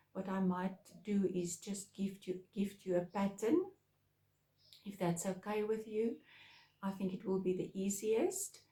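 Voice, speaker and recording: A woman's voice: medium pitch, darkish tone, reverberant. A woman from South Africa, with Afrikaans as her first language and an Afrikaans accent, chatting casually and hesitantly to her viewers as a craft podcast host. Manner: hesitant, many pauses and fillers.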